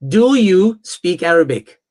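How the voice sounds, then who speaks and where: A man with a fairly high voice hosts a live online religious debate. He is confrontational and insistent, pressing his points with firm emphasis. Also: sing-song, unhurried, a little mellow. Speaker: debate host.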